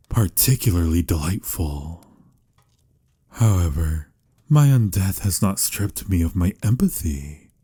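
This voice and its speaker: A man playing a melancholy, courtly vampire lover in a romantic ASMR audio roleplay, speaking softly in formal, old-fashioned, measured phrases with a breathy, husky voice. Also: lowish voice, heavily acted. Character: melancholy, courtly male vampire lover, patient but pained.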